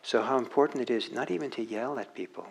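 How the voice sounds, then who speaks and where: A man giving a dharma talk as a meditation teacher, speaking reflectively with frequent pauses and restarts. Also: mid-range voice, creaky, husky. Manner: halting, reflective, many restarts. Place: meditation hall.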